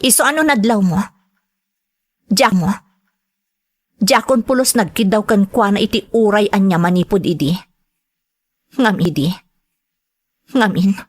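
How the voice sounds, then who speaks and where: A woman's voice: medium pitch, a little slow, pauses often, lightly acted. A woman playing a hurt, resentful young adult elder sister in a radio drama, serious and emotional. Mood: bitter, resentful.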